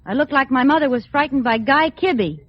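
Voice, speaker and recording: A noisy, muffled old radio comedy features a playful, pert wife. Her high voice moves about in perky, wisecracking lines. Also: expressive, a little fast. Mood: pert, amused. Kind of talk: 1940s-50s radio comedy acting.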